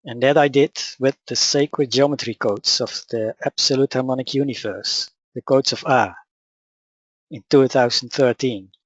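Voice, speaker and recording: A man with a Dutch accent, whose first language is Dutch, calmly telling his personal story and teaching in a measured, matter-of-fact way. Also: medium pitch, dry recording, highs cut off. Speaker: spiritual teacher. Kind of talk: personal account and teaching talk.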